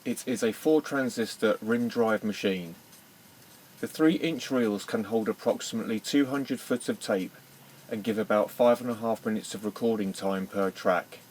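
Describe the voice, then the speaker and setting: A man's voice: fairly low pitch, moderate pace, a little mellow, a little hiss or hum. A man reading a matter-of-fact scripted test narration, recorded on a small reel-to-reel tape recorder.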